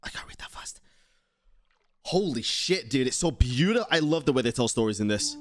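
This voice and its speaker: A man's voice: mid-range voice, lively intonation, a little fast, a bit bright, no room sound, clean studio sound. A male game streamer giving casual, awed, sweary reaction commentary while he plays.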